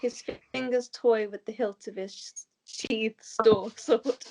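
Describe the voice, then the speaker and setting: A woman's voice: on the high side, fairly even intonation, slow pace, background noise. A woman narrating the stage directions of a script at an amateur table read.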